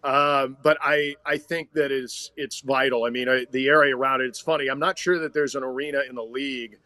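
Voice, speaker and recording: American man with a bright, forceful announcer's voice. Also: mid-range voice, steady tone, fairly quick, no echo. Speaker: guest, hockey radio play-by-play announcer. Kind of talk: conversational interview answers.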